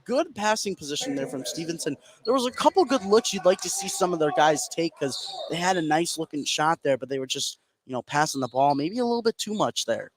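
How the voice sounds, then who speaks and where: A man's voice: on the high side, animated, a little fast, hardly any pauses. An American man giving live color commentary and analysis at a basketball game. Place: indoor basketball gym. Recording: faint gym noise behind.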